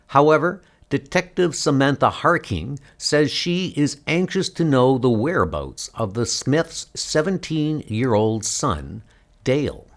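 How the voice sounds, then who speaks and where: A man's voice: medium pitch, expressive, measured pace. A man giving a dramatic scripted reading of a comic. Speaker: voice reader of a comic.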